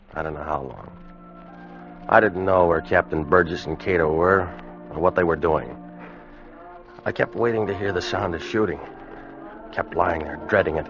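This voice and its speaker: An old radio adventure, muffled, with music clearly behind the voice. a doomed American sailor with a low voice, telling his story in a grave, haunted, measured way. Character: weakened, fearful American sailor recording his doom. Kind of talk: first-person radio drama narration. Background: dramatic music.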